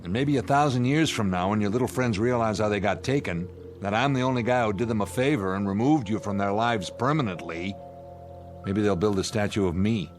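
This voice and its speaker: An actor playing a blunt, sardonic spaceship commander in a radio drama, with a commanding, scornful, plain-spoken manner. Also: male voice, lowish voice, fairly quick, faint music behind, close to an everyday voice. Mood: scornful, stern.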